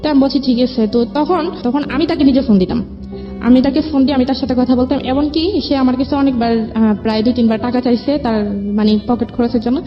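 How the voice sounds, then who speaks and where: A woman's voice: high voice, fairly even intonation, fast talker. A woman with a Bangladeshi accent telling her own life story as an interview guest. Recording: dull lo-fi audio, music underneath. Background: background music.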